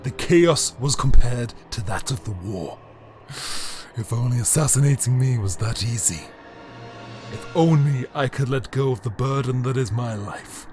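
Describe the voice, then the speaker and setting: A man playing a bitter, power-hungry immortal alien emperor in a dramatic audio drama monologue, brooding and grandiose, with deliberate theatrical pauses. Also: mid-range voice, a little mellow, soft background music, close to an everyday voice. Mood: bitter, scornful. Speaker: reader of an audio story.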